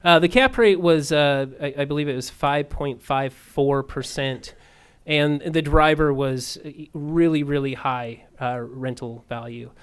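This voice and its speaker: A man's voice: a little high, a little slow, clean tone. A man answering audience questions on a real estate panel, hesitant and full of fillers and restarts.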